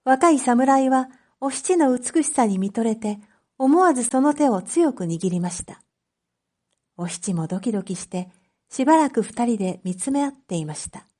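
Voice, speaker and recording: A woman reading a story aloud as a narrator, in a measured, clearly phrased storytelling manner for reading practice. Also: a little high, animated, a little mellow. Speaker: narrator, Japanese reading teacher.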